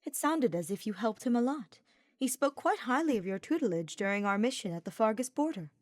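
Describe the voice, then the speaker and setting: A woman's voice: a little high, animated, brisk, no room sound, voice pushed up, lightly acted. A woman voicing an earnest, cheerful young nobleman knight in an audiobook, with florid and formal phrasing. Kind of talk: fan fiction audiobook character voice.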